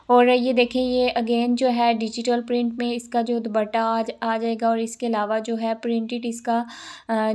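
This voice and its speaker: Chatty high-voiced woman vlogger. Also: a little mellow. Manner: chatty, repeats praise words, lists prices. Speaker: shopping vlogger. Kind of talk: casual store walkthrough narration. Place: clothing store indoors.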